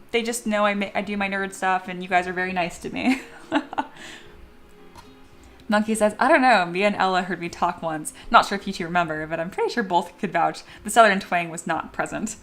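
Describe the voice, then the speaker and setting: Chatty woman streaming with soft music. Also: mid-range voice, fairly quick. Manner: relaxed and chatty, reads chat messages aloud, laughs often. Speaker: live-streaming 3D sculptor. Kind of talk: casual live stream chat while working. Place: home studio.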